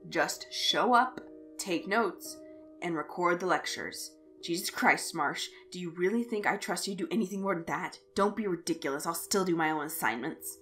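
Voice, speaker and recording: A woman's voice: on the low side, normal speed, fairly bright tone, close to an everyday voice. Female reader as young male student, irritable, blunt, curt delivery, story reading, background music. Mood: exasperated. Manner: curt, exasperated, swearing.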